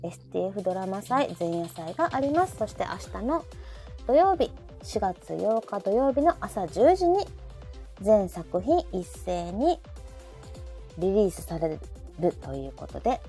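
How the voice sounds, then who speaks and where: Lively mellow woman with a Kansai accent. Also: mid-range voice, unhurried. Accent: Kansai Japanese. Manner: frequent backchannel agreement. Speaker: host of a live audio show. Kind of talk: casual chat. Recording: faint background music.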